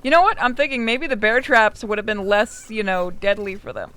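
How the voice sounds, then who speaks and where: A man's voice: high-pitched, brisk, bright, forceful tone, clean tone. A man playing a video game and bantering casually in a teasing, jokey way, with game music behind him. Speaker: player in a game playthrough.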